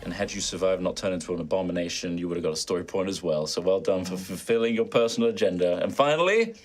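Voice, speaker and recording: Lively male game master, casual livestream chat. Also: low voice, fast talker, soft background music. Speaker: game master and stream host.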